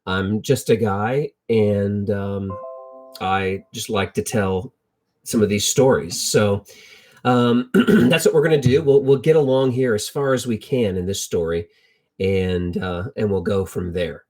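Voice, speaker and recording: A middle-aged American man tells Bible stories informally with personal anecdotes, in a halting, reflective way, repeating phrases for emphasis. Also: lowish voice, darkish tone, soft background music. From United States. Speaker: lay Bible storyteller.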